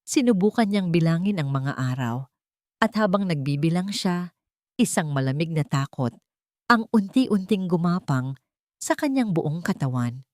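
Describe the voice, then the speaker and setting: A woman's voice: low-pitched, mellow, dry recording, clean studio sound. A woman narrating a scripted dramatic story.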